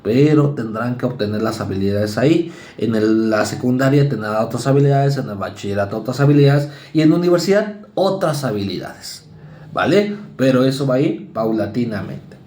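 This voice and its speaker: A male university lecturer with a Mexican accent, giving a conversational psychology class and acting out students and parents in quick asides. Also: mid-range voice, expressive, a little mellow, echoey room. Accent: Mexican Spanish.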